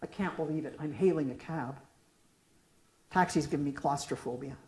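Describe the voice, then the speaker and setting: A middle-aged woman with a low, mellow voice reads her poetry aloud at a public reading. She shifts between the questions and answers of a dialogue poem, and her casual remarks between pieces are full of small fillers. The sound is roomy, with an echo from the room. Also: moderate pace. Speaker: poet reading her work. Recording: echoey room.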